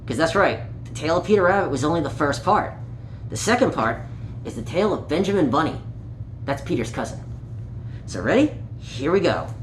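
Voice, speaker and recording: A person reading a children's story aloud in an animated, playful way, with small asides and stumbles over words. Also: medium pitch, brisk. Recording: echoey room with light background noise.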